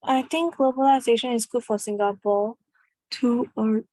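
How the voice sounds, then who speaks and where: Girl student reading her answer out, steady, super dry sound. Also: fairly high pitch, moderate pace, no room sound, low-quality recording.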